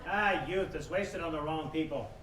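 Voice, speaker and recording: A man's voice: fairly high pitch, normal speed, lightly acted. A man playing a grumpy, meddling older neighbor who heckles in a 1940s radio play style. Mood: grouchy. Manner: grouchy, heckling. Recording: distant, echoey, noisy live hall with crowd.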